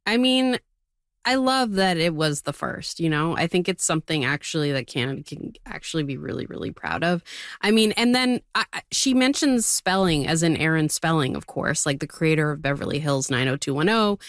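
A woman's voice: low voice, animated, normal speed, dry recording, clean studio sound. A woman chatting casually as a panelist in an interview. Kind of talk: casual chat.

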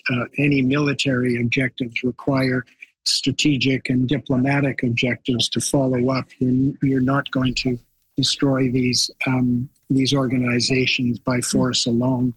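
Radio guest, man, husky voice, flat pitch, halting with fillers, dry sound. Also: dry recording, poor audio. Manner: hesitant, many fillers, critical. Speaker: guest commentator. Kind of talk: radio discussion.

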